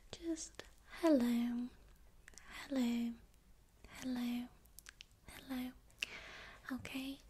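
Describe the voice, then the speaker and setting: A young woman whispers close to the mic in a breathy, high voice, taking her time over every phrase. She teaches ASMR calmly and reassuringly, checking in with the listener again and again. A faint hiss sits under the recording. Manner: calm, slow, reassuring, frequent "okay?" check-ins. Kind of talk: ASMR tutorial, whispered and soft-spoken.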